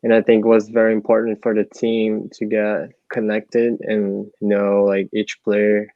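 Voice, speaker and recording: A man's voice: on the low side, completely flat delivery, measured pace, dry recording, muffled recording. A young man in his twenties, native Portuguese speaker, answering hesitantly with many likes on a video call. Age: 20s. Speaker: student athlete.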